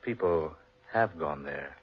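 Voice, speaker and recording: An actor playing an earnest, theatrical English gentleman in a 1940s radio drama. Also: extremely low pitch, expressive, slow pace, slightly rough, exaggerated voice. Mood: earnest, ominous. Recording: muffled 1940s radio recording.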